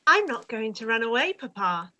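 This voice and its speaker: Woman playing a sharp, petulant teenage girl. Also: fairly high pitch, sing-song, normal speed, heavily acted. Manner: whiny, protesting. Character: petulant, easily upset teenage daughter. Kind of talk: radio play live read.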